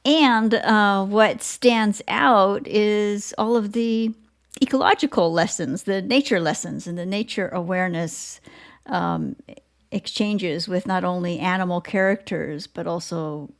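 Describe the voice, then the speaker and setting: A woman hosting a conversational podcast interview, speaking haltingly in short phrases as she searches for words. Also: mid-range voice, gravelly. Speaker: podcast host.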